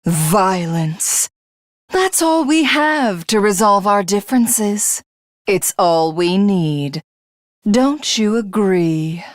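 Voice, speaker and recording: A woman's voice: mid-range voice, expressive, very slow, no room sound, clean studio sound. A voice actress playing a haughty, cruel female alien supreme commander for a video game, with imperious, theatrical, formal diction. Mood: cold menace.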